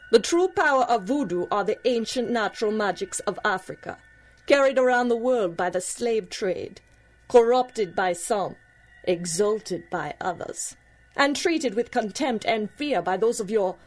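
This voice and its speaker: Measured, enigmatic voodoo priestess. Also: female voice, on the high side, expressive, soft background music. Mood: solemn, proud. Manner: measured, formal, knowing. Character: ageless, enigmatic voodoo priestess, proud, worldly and teasing. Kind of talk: 1930s-style radio drama acting.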